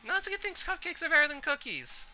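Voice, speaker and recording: A man's voice: very high voice, wildly swooping pitch, quick pace, bright, forceful tone, faint music behind, pitched above the performer's own voice, heavily acted. A man voicing cutesy teenage anime schoolgirls in a visual novel, petulant and insistent. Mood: petulant bickering. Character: squabbling cutesy teenage anime girls in a club.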